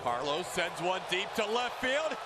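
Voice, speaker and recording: A man's voice: sits high, big pitch swings, a little slow. A man calling home runs in live baseball play-by-play in a distant, noisy broadcast clip.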